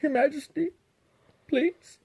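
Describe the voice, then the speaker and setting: A woman's voice: high-pitched, takes it slowly, creaky, lo-fi sound, pitched above the performer's own voice, full character voice. A woman voicing a meek, suffering small male turtle who pleads and groans. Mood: pleading.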